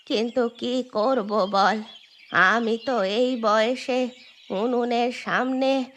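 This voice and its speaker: Woman doing a frail old granny for a cartoon, slow n drawn out, grateful, gently pleading, music behind. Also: a little high, fairly even intonation, slight background noise. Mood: tender, weary. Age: older. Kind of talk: cartoon voice acting.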